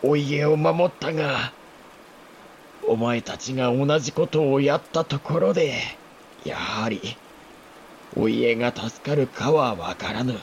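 A man playing a dying older samurai lord, an anguished father speaking halting, archaic lordly Japanese in a historical audio drama. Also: mid-range voice, muffled recording, slight background noise, close to an everyday voice. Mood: grave confession.

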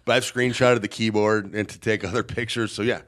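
A man telling a rambling, animated story in casual chat, with a somewhat nasal voice. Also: on the low side, a little fast.

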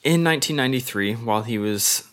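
A man co-hosting a casual sports podcast, reading out player stats with a loose, jokey manner and halting restarts. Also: medium pitch, expressive, fairly bright tone. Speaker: podcast co-host.

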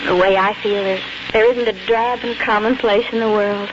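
A woman's voice: mid-range voice, fairly even intonation, moderate pace, close to an everyday voice. An actress playing a sweet, playful young woman in love, in 1940s radio drama style. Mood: playful, romantic. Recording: muffled, noisy 1940s radio recording.

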